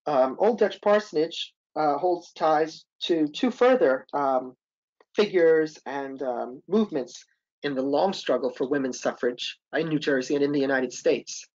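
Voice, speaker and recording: A man's voice: fairly high pitch, measured pace, a little mellow, lo-fi sound. A man, a historic site interpreter, presenting and answering questions on a webinar, hesitant, with many ums and uhs.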